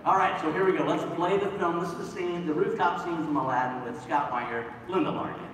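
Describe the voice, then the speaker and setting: Male panel host, informal and animated, distant miking in a very reverberant hall. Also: on the high side, fast talker, very noisy. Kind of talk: casual stage presenting. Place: stage in large convention hall, distant audience phone. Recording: echoey hall from far off, loud crowd. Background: loud audience.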